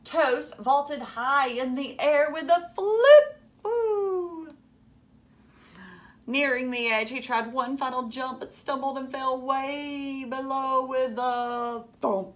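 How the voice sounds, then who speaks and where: Slow-paced, expressive woman reading to children. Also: high voice. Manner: animated, dramatic, teacherly. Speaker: storyteller reading a picture book aloud. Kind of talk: expressive children's read-aloud with asides. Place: furnished room at home.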